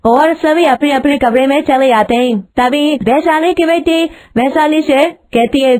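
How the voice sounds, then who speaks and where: High-pitched woman narrating a story. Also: unhurried, a little mellow.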